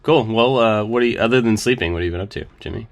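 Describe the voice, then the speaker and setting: A man hosting a podcast, just out of bed with his voice lower than usual, joking and laughing easily with his co-hosts. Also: quick pace, creaky. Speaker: podcast host. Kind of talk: casual chat.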